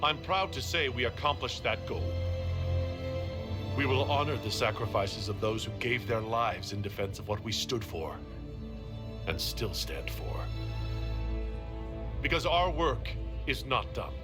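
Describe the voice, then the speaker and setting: A man's voice: mid-range voice, expressive, unhurried, long pauses, music in the background, natural voice. An actor playing a resolute male leader of a fantasy order in a video game, speaking earnestly and firmly. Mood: proud, resolute. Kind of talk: dramatic video game acting.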